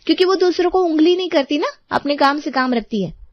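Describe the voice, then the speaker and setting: Woman putting on a haughty high-voiced rich neighbour lady. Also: animated, fairly quick, no room sound, lo-fi sound. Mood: cutting. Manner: condescending, showy.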